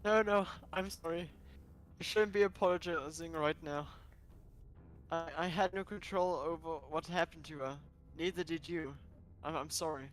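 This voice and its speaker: A man's voice: on the high side, normal speed, soft background music, lightly acted. A man voicing an insecure, melancholy young herbivore character in a visual novel, pleading and hesitant. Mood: anguished, self-pitying.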